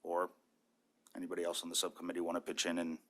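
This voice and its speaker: A man presenting a subcommittee report at a meeting, halting, with many fillers and repeated words. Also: deep voice, completely flat delivery, a bit bright.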